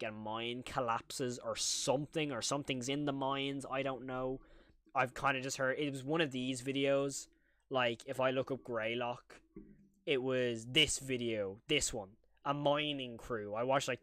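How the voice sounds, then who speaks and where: A man's voice: medium pitch, a bit bright, no echo. A man giving casual, rambling live-stream commentary to his chat as a reaction streamer, informal and frank. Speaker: streamer reacting to horror videos.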